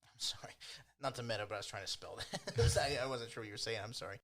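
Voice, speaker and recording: A man's voice: medium pitch, lively intonation, quick pace, fairly bright tone. A man running a tabletop game as game master, narrating in an informal, improvised, chatty way. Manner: informal, chuckles while narrating.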